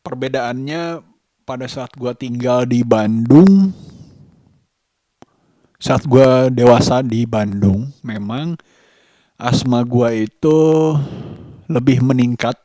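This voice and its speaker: A man from Indonesia talks casually to his vlog, thinking aloud in a reflective, halting way as he searches for words. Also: mid-range voice, dark tone, no room sound. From Sukabumi, West Java, Indonesia. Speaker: vlogger, host of his own daily vlog.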